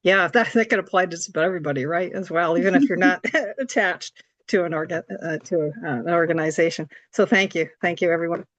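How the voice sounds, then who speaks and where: A woman with a scratchy, slightly hoarse voice giving an informal explanatory presentation, polite and somewhat hesitant. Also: on the low side, fast, no echo, highs cut off. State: hoarse from allergies. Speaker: report coordinator, presenter. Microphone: video call.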